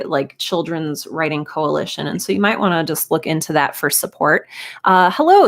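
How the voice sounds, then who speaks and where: A woman's voice: on the low side, fairly bright tone. A woman hosting a live stream, chatting casually and encouragingly as she greets viewers and reads out comments. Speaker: live stream host and writing teacher.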